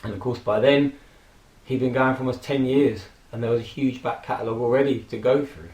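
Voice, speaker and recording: A man in his forties, hoarse from a throat infection, casually rambling and reminiscing with frequent fillers and laughs. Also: medium pitch, fairly even intonation, brisk, a little mellow, roomy sound, low-quality recording. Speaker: vlogger. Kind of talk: casual personal tribute monologue.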